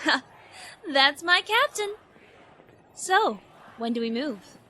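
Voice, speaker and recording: Excitable, very high-pitched sidekick. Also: female voice, slow pace, slight background noise, exaggerated voice. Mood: excited, admiring. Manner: bubbly, exclaiming. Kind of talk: audio drama acting.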